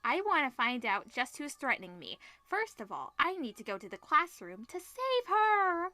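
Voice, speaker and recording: A woman's voice: high voice, huge pitch swings, moderate pace, bright, forceful tone, no echo, faint music behind, pitched above the performer's own voice, exaggerated voice. A woman voicing an anxious, impulsive student hero in a visual novel. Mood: agitated, urgent. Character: anxious, impulsive student protagonist searching for a friend.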